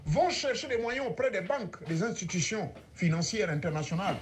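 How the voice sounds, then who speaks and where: A man's voice: high-pitched, expressive, fairly quick, a little hiss or hum. A man whose first language is Ngomienne, giving a sweeping, oratorical speech at a conference. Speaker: conference speaker.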